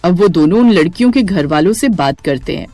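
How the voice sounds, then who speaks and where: A woman's voice: on the low side, expressive, a little fast, warm tone, dry recording. A woman narrating a scripted moral story. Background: music.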